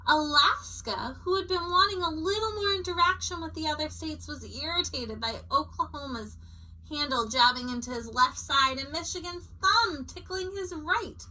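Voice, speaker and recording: A woman's voice: high-pitched, normal speed, poor audio. A woman reading a picture book aloud as a storyteller, expressively and with informal asides. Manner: expressive, acting out short character quotes.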